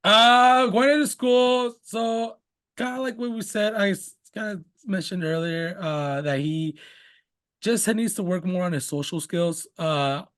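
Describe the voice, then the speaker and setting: Chatty young man, fairly high voice. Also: measured pace, a bit bright. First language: Spanish. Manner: rambling, many fillers like "you know" and "like". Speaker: father talking about his young son. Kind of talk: casual conversational interview.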